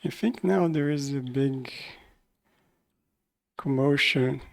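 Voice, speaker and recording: Unhurried man, low-key game stream chat. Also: gravelly, slight background noise. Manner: low-key, thinking aloud. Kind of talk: casual live gameplay commentary.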